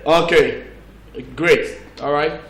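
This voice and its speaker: A man's voice: a little high, fairly even intonation, slow, a bit bright. A man with a Nigerian accent hosting a live television call-in show, courteously addressing callers as he goes. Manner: courteous, often answers with sir. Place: television studio. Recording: echoey studio, background noise.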